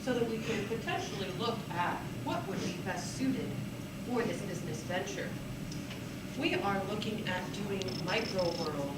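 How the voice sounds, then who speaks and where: A woman's voice: medium pitch, lively intonation, normal speed. A woman student giving an informal, playful presentation mixed with casual chat. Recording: echoey room, distant microphone, loud background noise.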